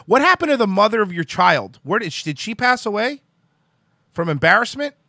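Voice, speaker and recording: A man's voice: high-pitched, very animated, brisk, pushed and bright, no room sound, studio quality. A man hosting a radio show, born in Cuba with Spanish as his first language, who mocks and interrupts his guest in a provocative interview. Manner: brash, mocking, interrupting. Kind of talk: insult-heavy provocative interview.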